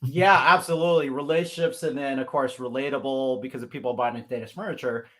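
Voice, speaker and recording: A man's voice: mid-range voice, steady tone, fairly quick, bright, forceful tone. A man from the US Pacific Northwest hosting a livestreamed talk show, upbeat and effusive, with many fillers. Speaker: host.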